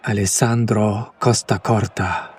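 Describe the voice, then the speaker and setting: A man playing a condescending, smitten kidnapper in an ASMR roleplay, speaking in a hushed, breathy, lingering way. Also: lowish voice, steady tone, a little slow. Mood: hushed, admiring. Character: condescending yandere male kidnapper, smitten with his captive. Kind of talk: whispered ASMR roleplay, slow soft recitation.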